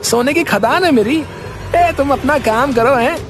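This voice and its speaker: A man's voice: extremely high pitch, measured pace, over music. A man voicing a vain, brash young beggar turned rich in a comedy cartoon, bossy and boastful, with a repeated verbal tic. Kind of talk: Hindi comedy cartoon story acting.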